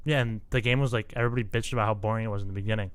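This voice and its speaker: A man's voice: fairly low pitch, fairly even intonation, very fast, clear, resonant tone, no echo. A young man co-hosting a sports podcast, rambling in casual chat and laughing often. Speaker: podcast co-host.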